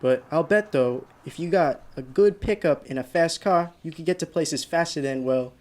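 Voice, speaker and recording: A man's voice: a little high, moderate pace, a little mellow, natural voice. An actor playing a haunted, desperate young male driver in a radio drama, with an intense delivery that stammers under agitation. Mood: musing, uneasy. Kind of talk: radio drama acting with first-person narration. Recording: clearly noisy radio play recording.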